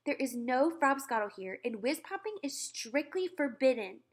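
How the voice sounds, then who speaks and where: A woman's voice: high-pitched, sing-song, moderate pace, pitched above the performer's own voice. A woman voicing an earnest young girl in a storybook, speaking firmly and pleadingly. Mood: firm, urgent. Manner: firm, pleading.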